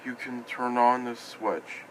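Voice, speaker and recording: A man speaking in short, halting, simple phrases as an amateur puppeteer improvising a puppet show, recorded on a tablet at home. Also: mid-range voice, level tone, a little hiss or hum. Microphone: iPad microphone.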